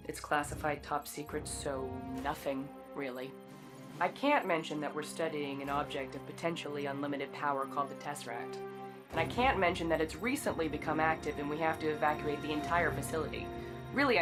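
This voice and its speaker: A woman acts out a chatty, wry official from a comic game cutscene. The voice sits low for a woman and confides coyly, in an easy conversational way. Music plays clearly behind it. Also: brisk, natural voice. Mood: coyly confiding. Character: chatty official leaking secrets while claiming secrecy.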